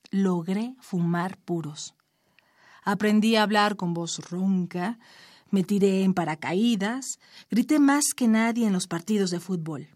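A woman reading her own short story aloud in a measured, expressive storytelling manner. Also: mid-range voice, no echo, clean studio sound.

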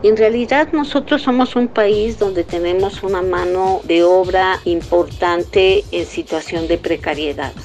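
A woman's voice: on the low side, measured pace, few pauses, a little mellow, faint music behind. A woman speaking off the cuff as an expert interviewee.

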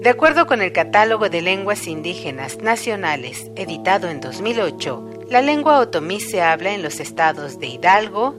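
Low-voiced woman reading over guitar. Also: sing-song, normal speed, few pauses. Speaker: segment narrator. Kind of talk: scripted educational narration. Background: guitar music.

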